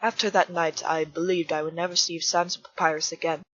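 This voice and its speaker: A woman voicing an earnest, polite male bartender with formal, measured speech in a fan comic dub. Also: lowish voice, level tone, a bit bright, no room sound. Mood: wistful. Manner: formal, measured, careful diction. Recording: muffled husky recording with faint music.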